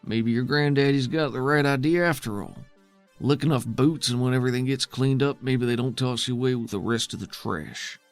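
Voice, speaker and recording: A man's voice: medium pitch, fairly quick, faint music behind, lightly acted. A man voicing a weary, defiant male settlement leader in a tabletop game, with folksy, plainspoken, skeptical phrasing. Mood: bitter resignation.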